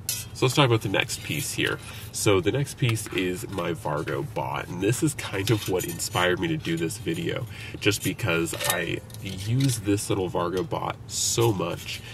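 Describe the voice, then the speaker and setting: A young man talking casually about his outdoor gear from inside a parked car, with relaxed, conversational speech and plenty of fillers. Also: sits low, creaky. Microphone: camera on the dashboard. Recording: somewhat distant mic, noticeable background hiss.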